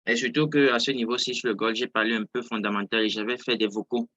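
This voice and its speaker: A man's voice: medium pitch, steady tone, fast, fairly bright tone, dry recording, muffled recording. A man who is not a native French speaker, giving an informal live online lesson as a trading instructor, often repeating and rephrasing his words for emphasis. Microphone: close mic on an online video call.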